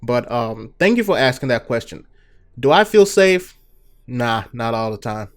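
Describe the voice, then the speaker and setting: A young man from Nigeria with a Nigerian accent, hosting a gaming channel and chatting through viewer questions in a relaxed, filler-heavy way. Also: medium pitch, a little slow. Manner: relaxed, chatty, many fillers and "you know"s. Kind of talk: casual Q&A talk to viewers.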